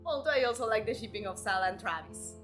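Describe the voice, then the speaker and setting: A woman's voice: medium pitch, expressive, fast talker, fairly bright tone, faint music behind. A woman who is a native Spanish speaker, hosting a game live stream and chatting encouragingly with her viewers. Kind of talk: live stream chat, reading comments aloud.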